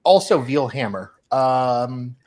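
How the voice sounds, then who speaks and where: A woman's voice: rumbling low, animated, slow, a little mellow. Woman in casual chat over background noise.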